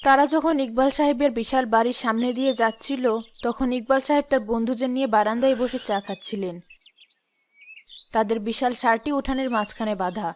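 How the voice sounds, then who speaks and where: A woman's voice: fairly high pitch, brisk, a little hiss or hum, close to an everyday voice. A woman playing the storyteller of a village cartoon, reading scripted narration. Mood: narrating. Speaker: narrator and character voice.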